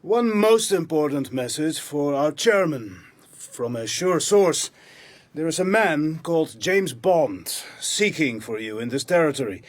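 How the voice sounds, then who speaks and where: An actor playing a formal European agent in a radio drama, speaking stilted English. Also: male voice, a little high, expressive, unhurried, no echo. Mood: grave, formal. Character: formal, stiff European agent reporting for his superiors.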